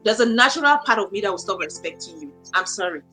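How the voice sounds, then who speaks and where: A woman's voice: medium pitch, lively intonation, fast talker, bright, forceful tone, soft background music, rough recording. A woman, a guest in a conversational interview, who talks emphatically and repeats her points for stress. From Cameroon. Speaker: guest, academic.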